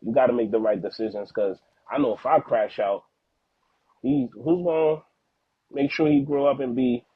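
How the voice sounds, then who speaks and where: Husky man on a phone-like line. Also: medium pitch, moderate pace, dry recording. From Haitian background, living in the United States. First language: Haitian Creole. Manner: earnest, slangy, repeats words for emphasis. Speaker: podcast guest, father. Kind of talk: casual, heartfelt interview talk. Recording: phone-like narrow-band audio, dry.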